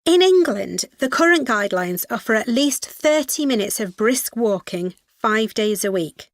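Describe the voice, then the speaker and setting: Female university student, scripted study discussion, matter-of-fact, highish voice, close dry sound. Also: animated, a little slow, dry recording. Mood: studious, matter-of-fact. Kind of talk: scripted educational dialogue.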